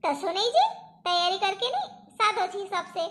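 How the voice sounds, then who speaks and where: Woman voicing a very high-pitched, bright cartoon village wife. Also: animated, normal speed, heavily acted. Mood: protesting. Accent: rural eastern Maharashtra, Balaghat-Gondia border region. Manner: itemizing, explaining, protesting. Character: thrifty village wife haggling over festival expenses. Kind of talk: cartoon comedy dialogue.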